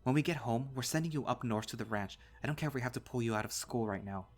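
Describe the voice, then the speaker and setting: A man's voice: medium pitch, quick pace, close to an everyday voice. A man voicing a stern, scornful, hot-tempered animal father who scolds and accuses, in an audio story. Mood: disgusted.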